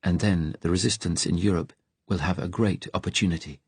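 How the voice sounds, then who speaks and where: A man narrating an audiobook, voicing a calm, direct resistance woman with a serious, measured manner. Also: sits low, breathy edge, no room sound, full character voice. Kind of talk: audiobook character dialogue.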